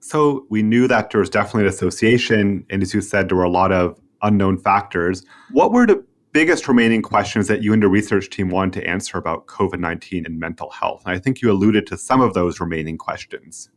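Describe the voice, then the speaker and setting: Very low-pitched male podcast host. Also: a little fast, hardly any pauses. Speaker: podcast host, journal web editor. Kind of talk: scripted podcast introduction and interview questions.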